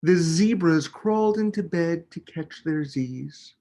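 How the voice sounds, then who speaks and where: A man, a children's book author, reading a picture book aloud with expressive, dramatic emphasis. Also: on the high side, slow. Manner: expressive, dramatic delivery with emphasis on key words.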